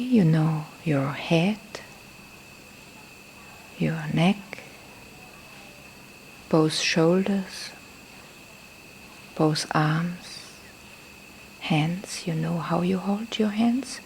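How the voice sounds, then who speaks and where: A woman teaches meditation in non-native English, with a lowish voice that creaks at the edges. She speaks very slowly and gently, often softening almost to a breathy whisper. She leaves pauses between short phrases, over faint background noise. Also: low-quality recording. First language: not a native English speaker. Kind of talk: guided meditation instruction. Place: temple in Thailand. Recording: faint background noise, live field recording.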